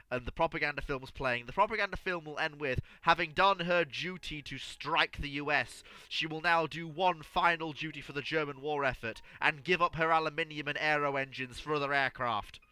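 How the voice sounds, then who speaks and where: A man in an animated group discussion, stammering and repeating his phrases emphatically as he makes his point. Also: a little high, clear, resonant tone, no room sound.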